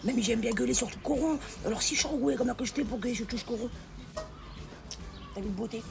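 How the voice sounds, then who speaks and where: A hoarse woman chatting familiarly as she cooks, calling the viewer pet names. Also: a little high, fast talker. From northern France. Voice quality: gravelly. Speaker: home cook. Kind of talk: casual cooking vlog chat. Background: background music.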